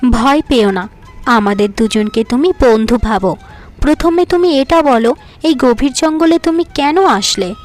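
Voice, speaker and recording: Woman as gentle cartoon goat, high voice. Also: animated, measured pace, soft background music. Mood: reassuring. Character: sad, gentle talking goat befriending a lost girl. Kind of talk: Bengali fairy-tale cartoon dialogue.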